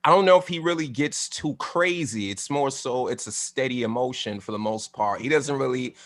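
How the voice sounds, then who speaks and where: A man with a low baritone voice, hesitantly asking questions in a casual group chat. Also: normal speed, fairly bright tone. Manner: hesitant, searching for words.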